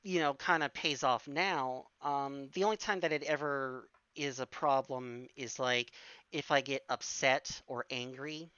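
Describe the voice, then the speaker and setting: A woman in her forties with a bright, crisp voice, talking slowly and haltingly. She fills her pauses with ums and restarts as she chats on a stream. The sound is dry and a little dull. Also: very deep voice, dry recording. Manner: hesitant, many fillers and restarts. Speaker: stream guest. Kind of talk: casual Q&A chat.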